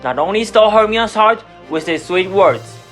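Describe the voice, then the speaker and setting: Radio play role: amateur performer as an obstinate, domineering dad, indignant, mid-pitched, light music bed. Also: lively intonation, moderate pace, a bit bright, close to an everyday voice. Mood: indignant complaining. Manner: commanding, indignant. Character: stern, stubborn father demanding obedience. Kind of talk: amateur radio drama acting.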